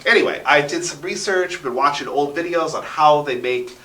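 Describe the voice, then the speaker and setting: A man's voice: a little high, moderate pace, sharp tone, slight background noise. A man talking casually as an interview guest, explaining things and often checking in with "right?". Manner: explanatory, punctuates points with "right?". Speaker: interview guest, radio drama writer and sound effects performer. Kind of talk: casual animated interview talk. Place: radio station studio. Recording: roomy studio echo, distant mic.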